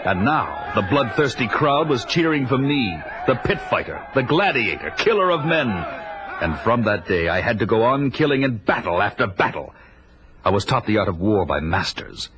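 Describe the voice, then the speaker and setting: An actor voicing a grim young barbarian warrior who narrates in a measured, dramatic way for a 1980s audio drama. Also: male voice, on the low side, very animated, background noise. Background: loud score under the voice.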